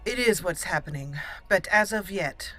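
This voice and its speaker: A woman hosting a roleplay game playthrough, commenting and reading aloud deliberately as she thinks. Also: on the low side, expressive, a bit bright. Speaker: let's play host. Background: game music.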